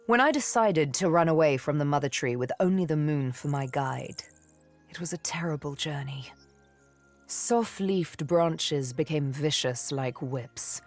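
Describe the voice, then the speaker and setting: An actress playing a haunted, wistful young elven woman in a video game, telling her tale in deliberate, poetic, theatrical phrases. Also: sits low, heavily acted. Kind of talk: dramatic video game dialogue, intimate storytelling.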